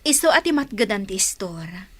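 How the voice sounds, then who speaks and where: An actress playing a sensible, devout young married daughter who studies, speaking earnestly and dutifully in an Ilocano radio drama. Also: female voice, mid-range voice, sing-song, moderate pace, no echo.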